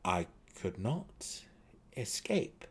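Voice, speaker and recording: A man voicing a modest male dark elf who speaks haltingly in a fantasy audiobook. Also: fairly low pitch, very animated, breathy edge, full character voice. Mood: grave. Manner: measured, halting, searching for words. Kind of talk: dramatic fantasy audiobook character voice.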